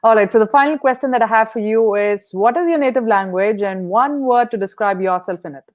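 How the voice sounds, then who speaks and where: A woman's voice: a little high, a little fast, a little mellow. A woman hosting a leadership podcast interview, upbeat and encouraging as she asks questions. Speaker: podcast host.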